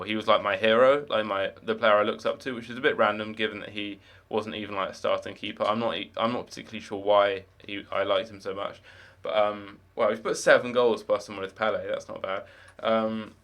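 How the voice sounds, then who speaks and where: A man's voice: on the low side, a bit bright. A young man with no marked accent, chatting casually while live-streaming a game, rambling with many fillers and restarts.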